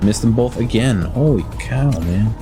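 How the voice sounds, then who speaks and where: A man's voice: mid-range voice, expressive, moderate pace. An American man with a slight lisp streaming a video game, chatting casually and answering viewers with lots of hesitant fillers. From United States. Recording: game music playing behind.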